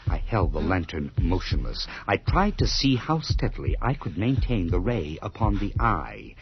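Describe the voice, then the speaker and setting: A man's voice: low voice, big pitch swings. An actor playing a deranged, gleefully cruel murderer, telling his story theatrically and intensely in a radio horror play, with drops into whispers. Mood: frantic, rising panic. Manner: theatrical, intense, slow and deliberate, dropping into whispers. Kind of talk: dramatic first-person radio horror narration. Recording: muffled, noisy old radio drama recording.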